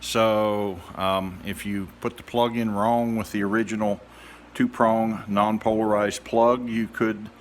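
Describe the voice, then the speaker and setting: A man giving a casual, unscripted demonstration as a hobbyist restorer, speaking haltingly with many pauses. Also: lowish voice, flat delivery, slight background noise. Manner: halting, hesitant, with trailing pauses.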